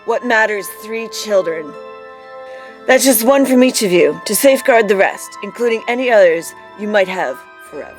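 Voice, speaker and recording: A woman's voice: medium pitch, animated, moderate pace, soft background music, natural voice. A woman playing a sly, coaxing witch who bargains unhurriedly in an audio drama. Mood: coaxing, sinister.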